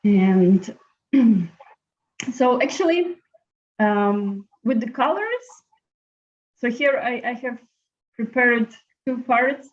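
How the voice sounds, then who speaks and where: A woman teaching a friendly live online painting class, chatting in an unhurried way with many fillers. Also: on the high side, lively intonation. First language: Latvian. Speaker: art teacher. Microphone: laptop video call. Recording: slightly muffled video call audio.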